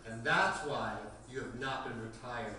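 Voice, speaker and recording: A man's voice: medium pitch, a little slow, natural voice. A man acting in a table read as a smug, theatrical finance executive, mocking, profane and flippant. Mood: smug sarcasm. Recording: roomy, distant mic, faint noise.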